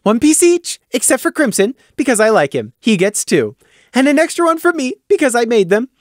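A man doing a giddy, high-pitched pony mayor. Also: very animated, no echo, studio quality. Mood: bubbly bossy. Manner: bright, bossy, rapid-fire chatter. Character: bubbly, pushy female unicorn mayor.

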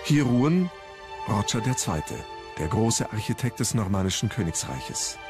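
A man's voice: lowish voice, big pitch swings, moderate pace, over music. A man reading scripted documentary narration as the narrator of a history programme.

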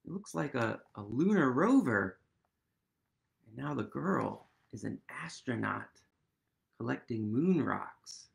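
A man in his thirties reading a picture book aloud to young children, gently and expressively, with pauses and questions. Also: mid-range voice, vocal fry. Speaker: storytime reader.

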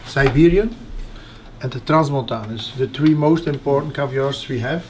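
Halting man leading a tasting. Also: medium pitch, slight background noise. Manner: halting, many uh fillers and restarts. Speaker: caviar producer guide. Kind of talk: explaining on a guided tasting. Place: small tasting room indoors.

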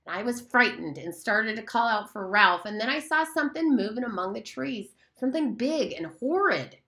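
A woman's voice: on the high side, lively intonation, moderate pace, sharp tone, voice pushed up. Woman voicing a piping little frightened boy. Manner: piping, earnest. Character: small frightened young boy.

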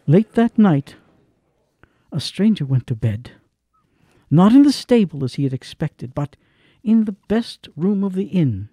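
A man's voice: high voice, sing-song, unhurried, dark tone, husky, no room sound, full character voice. A man narrating a radio drama in a scripted storytelling style.

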